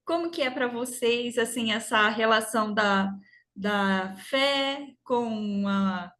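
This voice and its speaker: A woman hosting a live video call, her voice a touch high. She is inviting and a little hesitant, dotting her talk with tag questions. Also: fairly bright tone. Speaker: live-stream host. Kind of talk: casual live-stream hosting.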